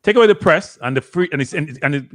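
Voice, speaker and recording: Jamaican man, slightly high voice, argumentative, repeats himself, live football chat. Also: expressive, fast, a bit bright. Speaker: football watchalong co-host.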